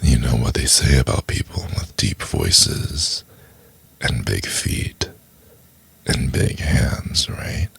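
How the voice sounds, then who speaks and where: Very deep gravelly, seductive male professor acted by a man. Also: full character voice. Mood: tender, seductive.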